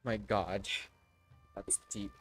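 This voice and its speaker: A man's voice: on the low side, level tone, very slow, faint music behind. A man livestreaming, giving casual reaction commentary on a game story.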